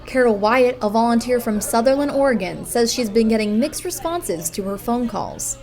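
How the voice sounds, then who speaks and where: A woman working as a television news reporter, delivering scripted broadcast reports in a measured, emphatic way. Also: on the high side, expressive, darkish tone, soft background music.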